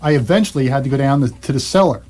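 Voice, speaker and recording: A man's voice: medium pitch, racing, a little mellow, natural voice. A man playing an indignant, stubborn and sarcastic homeowner in a comic radio play, with a dry delivery.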